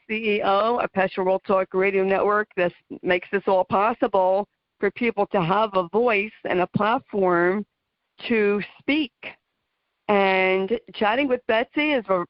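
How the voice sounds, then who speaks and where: A chatty woman with a New Jersey accent hosts a radio talk show, gushing over her guest and filling pauses with little fillers. Her voice sits at a middle pitch. The sound is dull and dry, like a muffled radio feed. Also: dry recording. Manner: chatty, effusive, frequent fillers and laughs. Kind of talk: casual interview chat.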